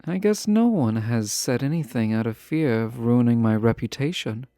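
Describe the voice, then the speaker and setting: A man playing a refined, guarded yet fond fantasy ruler in a romantic audio roleplay, speaking in formal, measured phrases with teasing asides. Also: mid-range voice, expressive, dark tone, no echo, studio quality, natural voice. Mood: earnest, guarded.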